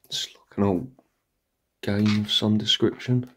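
Deep-voiced man exploring an empty house. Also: a little slow, very noisy. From England. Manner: excited, marvelling asides to viewers. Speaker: urban explorer host. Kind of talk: walkthrough vlog narration.

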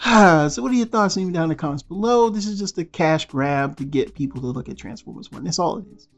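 A man's voice: fairly high pitch, animated, fairly quick, soft background music. A man hosting a toy news channel, speaking as a blunt, slangy, rambling voice-over over still images. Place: voice-over, not in pictured place.

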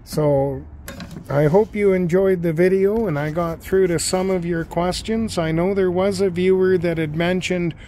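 Guy doing a slow matter of fact hobby vlog outside, bit of background noise. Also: a little high, a little mellow. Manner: halting, matter-of-fact explaining. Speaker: amateur radio hobbyist and video host. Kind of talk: unscripted demonstration vlog. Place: outdoors in a backyard and deck.

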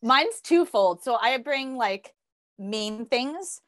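A woman's voice: a little high, animated, slow, a bit bright, no echo. Woman chatting casually, close dry sound. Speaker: podcast co-host, health coach. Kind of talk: casual podcast chat.

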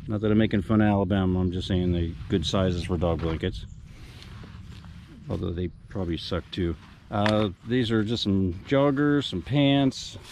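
A man's voice: mid-range voice, a little mellow, slight background noise. A man, chatting casually and rambling with jokey asides as he sorts through storage unit finds outdoors on a rural lawn, filmed on a body-worn camera. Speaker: storage unit reseller vlogger. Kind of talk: casual walkthrough commentary.

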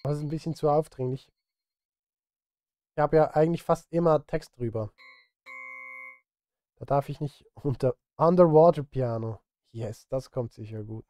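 A middle-aged man streams from his home studio with a mellow, slightly high voice. He thinks aloud in loose, casual chat, muttering and grumbling at himself. The sound is close and dry. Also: no room sound. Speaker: streamer and film music composer. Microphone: close studio microphone.

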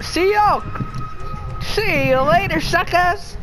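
A woman in casual gaming chat, excitable and shouting playful taunts at other players. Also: extremely high pitch, slow. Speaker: gamer. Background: game music.

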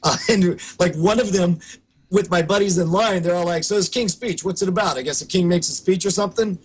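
Hoarse man in animated podcast chat. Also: sits high. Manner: animated, quick storytelling with restarts. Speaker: co-host. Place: home studio room.

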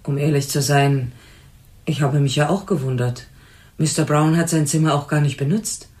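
A woman's voice: sits low, fairly even intonation, darkish tone, close to an everyday voice. An actress playing a shaken woman on night reception at a motel, hesitant and flustered, in a radio crime play. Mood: flustered, earnest. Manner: hesitant, flustered, halting recollection. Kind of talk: German radio crime drama acting.

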